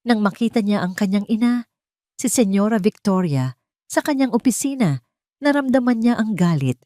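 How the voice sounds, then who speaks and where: A woman's voice: medium pitch, lively intonation, moderate pace, warm tone, no echo, studio quality. A woman narrating a scripted, melodramatic love story. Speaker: audio drama narrator.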